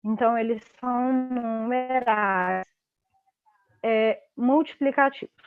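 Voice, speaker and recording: A young woman with a Brazilian accent teaches a live class over a video call. Her voice sits a bit high and she talks in a relaxed, chatty way, leaning on fillers as she explains. The audio is dull and lo-fi, with a dry, close sound. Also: slow pace, clean tone, dry recording. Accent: Brazilian Portuguese. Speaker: online tutor. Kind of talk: live online lesson.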